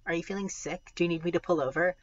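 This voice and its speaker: A man's voice: sits high, steady tone, racing, no echo, highs cut off, voice pushed up, natural voice. A man voicing a tense, weary mother from a storybook, caring but short on patience. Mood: concerned. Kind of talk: storybook read aloud.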